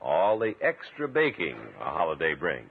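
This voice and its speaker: A man with a husky voice announcing in a 1950s radio style, with an emphatic salesman's delivery. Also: deep voice, very animated, normal speed. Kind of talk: 1950s radio commercial and scripted narration. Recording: tinny old radio recording.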